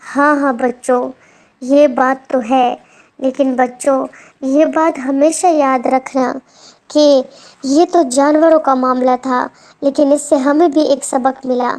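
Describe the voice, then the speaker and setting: A woman's voice: high-pitched, steady tone, slow, a little mellow. A woman telling a moral story to children in an instructive way, addressing them directly and repeating phrases for emphasis.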